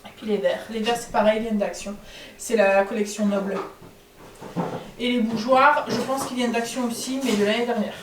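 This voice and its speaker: Woman with a blocked nose chatting at home, higher voice, lots of umms, kinda echoey room. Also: fairly even intonation, noisy background. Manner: chatty, many filler pauses. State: stuffy nose from a cold. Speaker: family vlogger. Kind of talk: casual home vlog chat.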